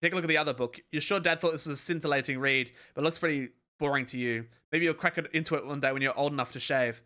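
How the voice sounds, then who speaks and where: A man streamer reading a webcomic aloud on a live stream in a calm, relaxed reading voice. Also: a little high, fast talker.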